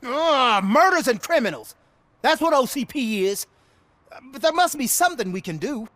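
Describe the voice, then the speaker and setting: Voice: high voice, expressive, moderate pace, fairly bright tone, no echo. An actor playing an indignant, dutiful new mayor in a video game, earnest and emphatic. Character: indignant, dutiful newly elected city mayor. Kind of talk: video game cutscene acting.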